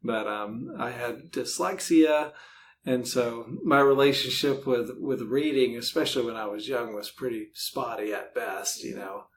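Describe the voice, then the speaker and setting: Man chatting casually, faint rumble behind. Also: measured pace. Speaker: podcast guest, writer. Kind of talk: casual conversational interview answers. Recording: faint low rumbling background noise.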